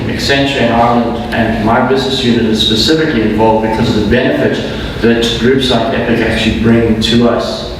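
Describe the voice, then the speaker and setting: A middle-aged man with a South African accent giving a measured speech at an event, with frequent ums and restarts. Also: medium pitch. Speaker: corporate speaker at a graduation event. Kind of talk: prepared speech with fillers. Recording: echoey hall from far off with noise.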